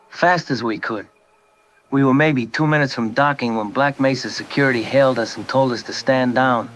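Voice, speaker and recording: An actor playing a shaken coast guard captain who gives a hesitant, halting statement. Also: male voice, on the high side, fairly bright tone, natural voice. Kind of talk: audio drama testimony.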